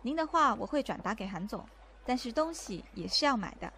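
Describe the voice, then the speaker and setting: A woman's voice: on the high side, expressive, a little fast, fairly bright tone. A woman playing a composed, firm secretary in an audio drama, speaking politely and formally. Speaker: voice actor in an amateur audio drama. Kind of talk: acted audio drama dialogue. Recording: faint ambient noise.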